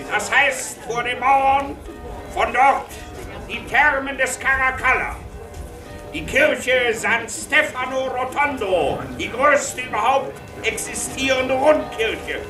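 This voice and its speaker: High-voiced bossy tour guide. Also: female voice, slow. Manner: declamatory, schoolmistressy. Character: brisk, bossy pilgrimage tour guide, grandly effusive. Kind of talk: radio play acting. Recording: echoey, distant, music behind.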